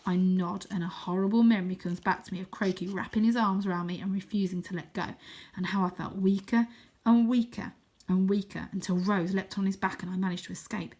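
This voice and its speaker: A woman reads a children's book aloud. Her voice sits at a medium pitch, and her scripted narration is expressive, in the manner of a storyteller. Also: a little fast. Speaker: storybook reader.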